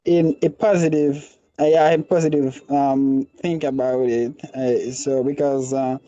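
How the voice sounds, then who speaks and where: A man's voice: medium pitch, steady tone, unhurried, dark tone, no echo. A man answering a class discussion question, hesitant and searching for words. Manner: hesitant, many fillers and restarts. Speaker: student. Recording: muffled lo-fi online call audio.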